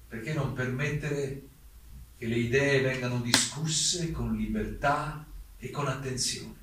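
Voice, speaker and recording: A man playing an exiled German playwright giving a formal defence statement in a solemn, deliberate, rhetorical stage delivery. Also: medium pitch, fairly bright tone. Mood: solemn appeal. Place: theatre stage, recorded from afar. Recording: very echoey distant stage recording, faint noise.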